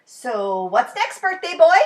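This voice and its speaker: A woman's voice: high-pitched, very animated, takes it slowly, exaggerated voice. A woman voicing a big, boisterous, smug and childlike imaginary friend in a storybook, with bellowing, exclamatory delivery. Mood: booming, jolly. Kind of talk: storybook character voice.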